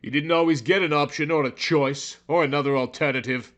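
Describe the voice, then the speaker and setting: A man's voice: a little high, fairly even intonation, a little fast, fairly bright tone. Theatrical man narrating a brooding story. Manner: theatrical, brooding, overwrought delivery. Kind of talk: dramatic scripted narration.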